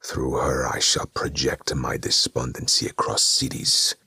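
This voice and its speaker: A man voicing a sinister male demon who feeds on despair, speaking slowly and scornfully in a whispery voice. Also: extremely low pitch, sing-song, gravelly, bright, forceful tone, husky, dry recording, clean studio sound, heavily acted. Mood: gloating. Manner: slow, cold and scornful.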